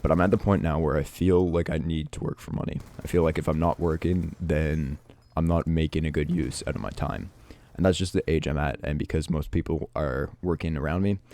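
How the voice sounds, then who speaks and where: A teenage guy from Canada, sick and stuffed up with a raspy voice, talking through his uncertain future in a hesitant, reflective vlog with many restarts. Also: extremely low pitch, steady tone, a little fast, hardly any pauses, darkish tone. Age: teens. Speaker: YouTuber.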